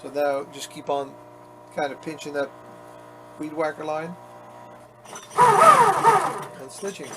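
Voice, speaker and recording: A man's voice: fairly high pitch, flat delivery, slow, long pauses. A man talking casually as he works on a leather restoration, chatty and self-mocking. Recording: workshop noise behind the voice.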